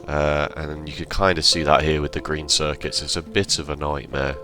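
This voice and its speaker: A man giving relaxed, rambling commentary over his gameplay, sounding slightly hoarse and correcting himself as he goes. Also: rumbling low, normal speed, over music. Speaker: let's play host.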